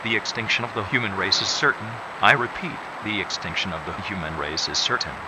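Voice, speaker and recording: Man acting low-voiced official announcer through heavy noise. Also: expressive, brisk, lightly acted. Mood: cold, official. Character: impassive government emergency broadcast announcer. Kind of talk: formal emergency alert announcement. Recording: very noisy emergency broadcast. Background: loud noise.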